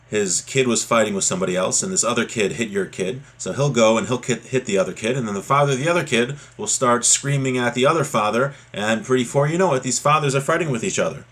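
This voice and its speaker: Guy teaching religious law, kinda husky voice, middle pitch, chatty and explaining as he goes. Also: a bit bright. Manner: conversational, explanatory, checks in with "right?". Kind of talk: informal religious lesson, reading a text aloud then explaining it.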